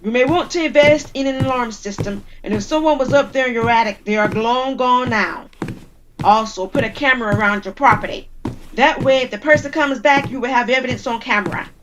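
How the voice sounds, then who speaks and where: Woman playing a brisk, high-pitched police officer. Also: background noise, lightly acted. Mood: brisk, authoritative. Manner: official, questioning, matter-of-fact. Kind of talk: audio drama dialogue.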